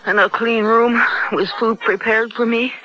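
An actress playing a weary, defiant female prisoner in a radio drama, speaking slowly with biting distrust. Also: fairly high pitch, fairly even intonation, background noise, close to an everyday voice. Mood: defiant, suspicious. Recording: dull old radio recording.

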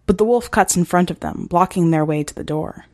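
A woman's voice: on the low side, fairly quick, darkish tone, dry recording, studio quality. A woman reading scripted fiction as a narrator for a short story podcast. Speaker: podcast editor and story reader.

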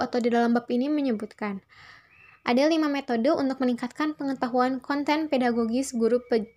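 High-pitched young woman presenting. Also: a little mellow. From Indonesia. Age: young adult. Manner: polite, formal, repeats and rephrases phrases. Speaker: student presenter. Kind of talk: prepared spoken class response.

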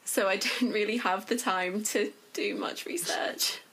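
A woman's voice: mid-range voice, unhurried, a bit bright, husky, in a whisper. A woman, an actor being interviewed, talking in a casual conversational style.

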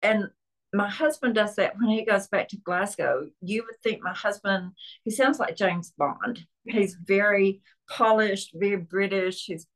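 A woman's voice: mid-range voice, unhurried, low-quality recording. Southern woman with a Tennessee accent. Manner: chatty, friendly, with frequent restarts. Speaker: guest author and historian. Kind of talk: casual podcast interview.

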